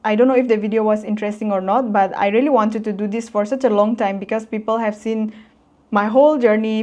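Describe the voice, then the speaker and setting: A woman's voice: mid-range voice, dark tone. A woman in her twenties talking straight to camera in a casual motivational vlog, earnest, blunt and emphatic. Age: 20s. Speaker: vlogger.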